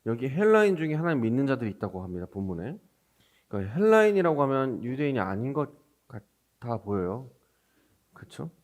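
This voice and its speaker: A man's voice: medium pitch, very animated, fairly quick. A man preaching as a pastor, explaining scripture in a conversational way and putting questions to his listeners. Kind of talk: Sunday sermon with scripture reading.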